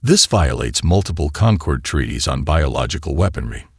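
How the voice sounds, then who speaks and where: Man voicing a deep, suspicious alien scientist. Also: animated, moderate pace, husky, dry recording, clean studio sound, full character voice. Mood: alarmed. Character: suspicious, alarmed alien science officer with twitching mandibles.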